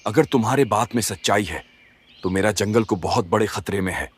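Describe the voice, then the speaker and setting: A man voicing an aging lion king in a cartoon fable, trusting and dignified, speaking in a measured, earnest way. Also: on the low side, a little hiss or hum, lightly acted. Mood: grave. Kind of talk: Hindi animated moral story dialogue.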